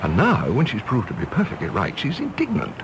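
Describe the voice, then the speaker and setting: An actor playing an earnest, disillusioned middle-aged English diplomat in a radio play, with a formal English accent. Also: male voice, medium pitch, huge pitch swings, brisk. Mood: rueful, musing. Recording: faint background noise and ambience.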